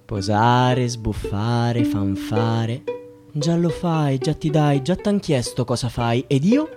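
Radio theatre: male actor as bitter, sardonic young student, rhythmic and declamatory, slow deliberate delivery under prominent string music. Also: mid-range voice, natural voice. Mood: hushed, bitter. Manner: declamatory, rhythmic, swinging from hushed muttering to loud cries. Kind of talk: theatrical spoken-word monologue with wordplay and repetition. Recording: loud string music behind the voice. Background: bowed string music.

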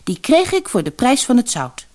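A woman's voice: fairly high pitch, wildly swooping pitch, normal speed, darkish tone, voice pushed up. A woman voicing an eager, kind-hearted young boy in a storybook tale, earnest and direct. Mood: pleased. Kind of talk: storybook narration character.

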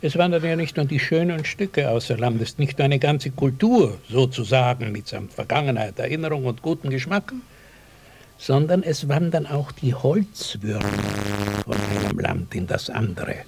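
An actor playing an elderly village schoolmaster in a radio drama, speaking in a wordy, oratorical and dryly ironic way. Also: male voice, medium pitch, expressive, gravelly, a little mellow, breathy edge. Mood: ironic, lofty. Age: older. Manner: measured, oratorical, dryly sardonic. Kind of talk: German radio drama acting.

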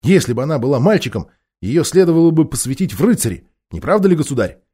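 A man acts out an excitable schoolboy from a fantasy storybook. He is blunt and exclamatory with a grumbling streak, in a mellow, very lively voice. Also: on the high side, fast, husky. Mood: amazed, admiring. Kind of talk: children's fantasy audiobook character.

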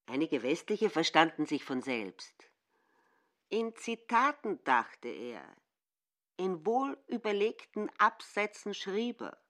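A woman giving deliberate, expressive literary narration in a radio play. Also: lowish voice.

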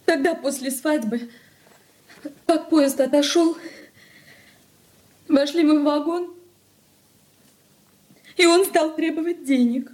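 An actress in a Soviet radio drama playing a distraught young runaway wife, pleading and tearful. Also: female voice, high-pitched, slow pace, long pauses, darkish tone. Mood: anguished pleading.

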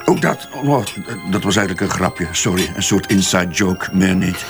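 A man's voice: low voice, animated, normal speed, faint music behind. A man with a Flemish accent playing a defensive advertising boss under questioning in a crime drama, hesitant and evasive, often backtracking. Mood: evasive, sheepish. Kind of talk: radio crime drama dialogue.

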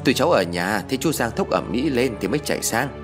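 A younger village man from an audio story, voiced by a male narrator, earnest and worried. He explains things in a plain, hurried way. His intonation moves a lot, and music plays faintly underneath. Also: mid-range voice, animated, close to an everyday voice. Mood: concerned, explaining.

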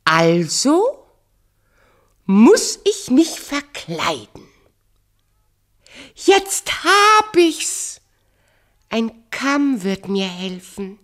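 Wicked fairy-tale queen for radio play: vain, commanding, scheming, big melodic swings, measured pace. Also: fairly high pitch, big pitch swings, full character voice. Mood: gloating, plotting. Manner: theatrical, commanding, swinging between outcry and hiss.

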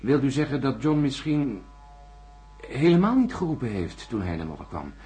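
An actor playing an uncertain astronaut under questioning in a radio drama, halting and groping for words. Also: male voice, mid-range voice, big pitch swings, mellow, faint music behind, lightly acted. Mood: dawning realisation. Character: hesitant, uncertain male astronaut being questioned.

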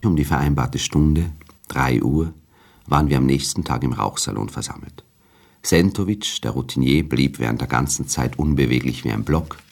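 A man narrating a literary story in a formal, measured, suspenseful storytelling manner. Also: very deep voice, vocal fry.

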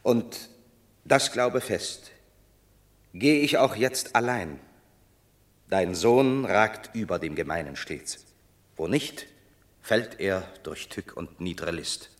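An actor playing a proud, scornful banished Roman warrior in a radio drama of classical verse, with a declamatory, measured, grand delivery. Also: male voice, on the low side, animated. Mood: solemn, consoling.

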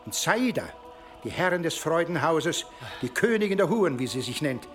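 An actor in a radio play playing a powerful medieval archbishop, accusing and mocking in a deliberate manner. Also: male voice, on the high side, big pitch swings, soft background music. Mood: stern accusation.

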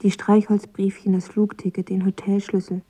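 A woman's voice: medium pitch, little pitch movement, normal speed, dark tone, husky, natural voice, rough recording. An actress playing a reserved, wistful young woman in a radio drama, understated and introspective. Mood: wistful, reflective. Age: young adult. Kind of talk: radio drama dialogue and letter reading.

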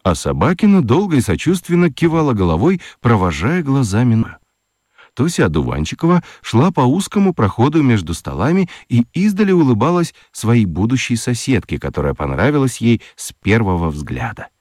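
Expressive male storyteller, hugely varied pitch. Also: moderate pace, no echo, studio quality. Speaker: narrator. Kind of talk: scripted narration for a children's radio play.

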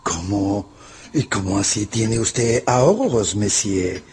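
An actor playing a haughty, sceptical French marquis in a radio drama, with a raspy voice and sneering manner. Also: male voice, fairly low pitch, animated, slow, a little mellow, full character voice. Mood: suspicious disdain. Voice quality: raspy, gravelly. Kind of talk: Spanish-language radio drama acting.

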